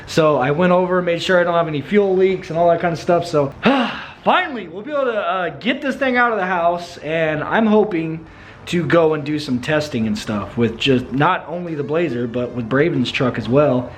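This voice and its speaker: Hoarse, high-voiced guy in a car. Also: normal speed. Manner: laid-back, slangy, says bro and dude. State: hoarse, losing his voice. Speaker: car build vlogger. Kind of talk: casual vlog chat. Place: in a car, driving. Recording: dull lo-fi sound, loud road noise. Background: road and engine noise.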